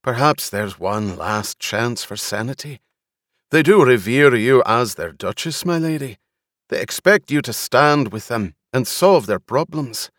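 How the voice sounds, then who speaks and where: A man voicing a gruff, loyal retainer in an audiobook, speaking formally and deferentially with urgency and worry. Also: mid-range voice, expressive, a little slow, fairly bright tone, no room sound, clean studio sound. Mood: earnest, urgent.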